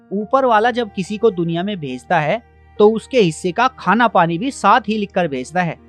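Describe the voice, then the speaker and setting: A man's voice: high voice, lightly acted. A man voicing a clever, lazy, carefree village lad in a cartoon, breezy and self-assured. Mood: carefree, dismissive. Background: background music.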